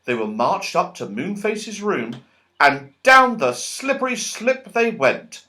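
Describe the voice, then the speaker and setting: A man narrating a children's audiobook in an expressive, dramatic and emphatic storytelling style. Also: sits high, takes it slowly.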